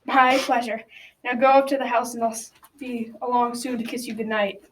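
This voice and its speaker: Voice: mid-range voice, little pitch movement, normal speed, fairly bright tone, lightly acted. A young teen playing a kindly grandfather who tells a folktale in a school radio drama. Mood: gentle. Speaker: storyteller narrator. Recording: distant mic, clicks and faint noise.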